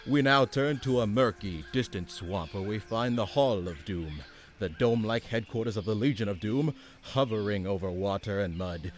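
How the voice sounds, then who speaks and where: A man's voice: on the low side, expressive, moderate pace, slight background noise, natural voice. A man acting a grandiose, theatrical character in a parody superhero radio play, with a sarcastic, exasperated streak. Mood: grandiose, dramatic. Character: bombastic radio announcer turned petty, exasperated, pompous supervillain leader.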